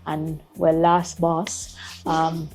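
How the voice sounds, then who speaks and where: A woman's voice: deep voice, monotone, extremely slow, a little mellow. A middle-aged woman, a school principal giving earnest interview answers and repeating words as she finds them. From Jamaica.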